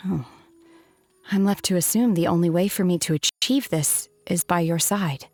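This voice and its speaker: Actress as young female mystic, game character, lowish voice, aloof, solemn, earnest, formal, restrained, dry close recording. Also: a little mellow, no room sound, soft background music, natural voice. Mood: earnest, contemplative. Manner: measured, restrained, formal, pensive. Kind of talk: video game character voice acting.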